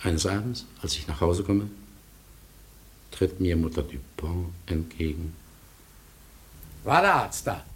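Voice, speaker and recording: Deep-voiced melancholy husband, swooping intonation. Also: male voice, expressive, takes it slowly, long pauses. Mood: grave, agitated, then concerned. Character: melancholy man narrating his lost love, anxious husband. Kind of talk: German radio drama acting, narration and dialogue.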